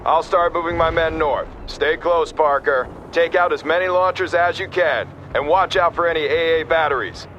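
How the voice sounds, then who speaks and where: An actor playing a gung-ho, combative army captain in a military video game, talking in brash soldier fashion. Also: male voice, fairly high pitch, normal speed, few pauses, slight background noise, natural voice, low-quality recording. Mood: determined. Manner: brash, forceful soldier talk. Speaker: army field officer.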